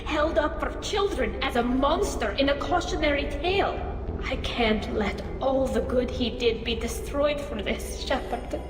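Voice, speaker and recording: A woman's voice: sits high, measured pace. A woman voicing an earnest, emotional young female alien engineer in a video game, with a helmet speaker filter. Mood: anguished, pleading. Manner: earnest, imploring. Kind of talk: dramatic video game voice acting. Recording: echoey reverberant hall, music behind.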